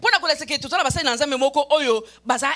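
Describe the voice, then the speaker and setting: A woman's voice: sits high, quick pace, a bit bright. A woman pastor from Congo preaching energetically in short punchy phrases, breaking often for hallelujahs. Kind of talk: sermon preached with an interpreter. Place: church.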